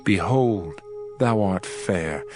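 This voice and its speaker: An actor playing an adoring royal bridegroom in a dramatized scripture reading, with a measured, reverent and tender delivery. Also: male voice, low-pitched, animated, music in the background, exaggerated voice.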